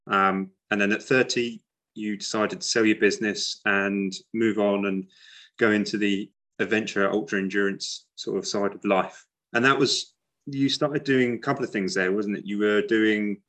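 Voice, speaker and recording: A man's voice: lowish voice, a bit bright. A man hosting a podcast interview, asking friendly questions with lots of hesitations and ums. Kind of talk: casual interview.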